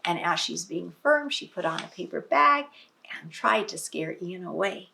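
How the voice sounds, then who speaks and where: A woman's voice: fairly low pitch, animated, moderate pace, creaky, background noise. A woman reading a picture book aloud to young children, teacher-style, with explanatory asides and prompting questions. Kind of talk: expressive storybook read-aloud with asides to children.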